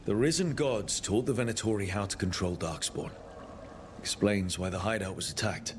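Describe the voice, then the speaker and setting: An actor playing a steady, reasonable and supportive team leader in a fantasy game. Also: male voice, lowish voice, moderate pace, slight background noise, close to an everyday voice. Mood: steady, decisive.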